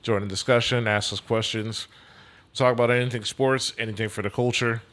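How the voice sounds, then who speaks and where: A man's voice: mid-range voice, steady tone, a little fast, fairly bright tone. A man who hosts a sports radio show, speaking as a guest on a podcast in an easygoing, plain-spoken way. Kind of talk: casual podcast chat.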